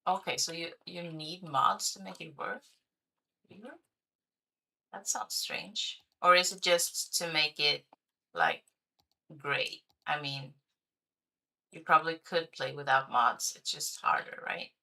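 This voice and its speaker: Slow, gravelly woman streamer. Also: low voice, pushed and bright, poor audio. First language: Swedish. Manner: chatty, thinking aloud, hesitant with fillers. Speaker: game streamer. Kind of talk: casual livestream commentary while playing.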